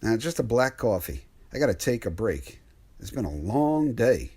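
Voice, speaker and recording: An actor playing a weary, skeptical truck driver with a husky, folksy, colloquial way of talking in a radio mystery drama. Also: male voice, medium pitch, sing-song, normal speed, background noise, natural voice.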